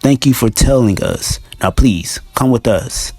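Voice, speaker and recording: A man's voice: medium pitch, measured pace, a little mellow, husky, dry recording. A man playing a firm, matter-of-fact officer in an amateur audio drama, with a curt but polite official manner.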